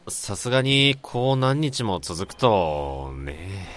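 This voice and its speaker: Low-voiced, sleepless young office worker played by a man. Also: big pitch swings, takes it slowly, fairly bright tone, exaggerated voice. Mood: weary, dismissive. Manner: polite, junior way of speaking to colleagues. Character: sleepless, uneasy young male office worker haunted by nightmares. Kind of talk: radio drama acting with first-person narration.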